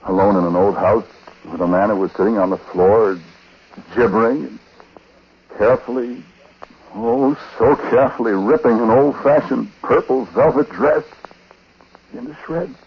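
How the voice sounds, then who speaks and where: A man playing a haunted, condemned husband telling his story haltingly and dramatically in a 1940s radio drama. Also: fairly low pitch, breathy edge. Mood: bleak, anguished. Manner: hushed, halting, dramatic first-person telling. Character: haunted condemned husband recounting his wife's uncanny death. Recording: noisy, muffled 1940s radio broadcast.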